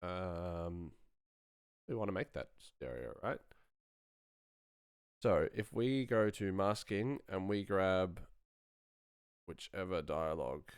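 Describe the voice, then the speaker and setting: Low, smooth-voiced man chatting casually. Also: expressive, slow pace, long pauses, no room sound, clean studio sound. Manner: rambling, informal, thinking aloud. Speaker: sound designer hosting a live stream. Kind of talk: casual tutorial chat while working.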